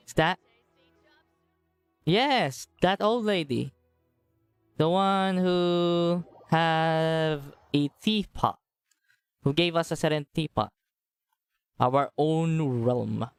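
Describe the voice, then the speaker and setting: A woman game streamer with a slightly low, very slow delivery. She muses casually in short fragments, recorded dry, with faint music behind. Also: clear, resonant tone, no room sound. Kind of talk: casual live game commentary.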